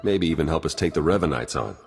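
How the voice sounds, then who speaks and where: A man's voice: rumbling low, expressive, fast talker, gravelly, slightly rough, heavily acted. An actor playing an easygoing, practical male ally in a video game, talking casually in a reverberant space. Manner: casual, conversational. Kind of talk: scripted video game dialogue. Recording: reverberant hall.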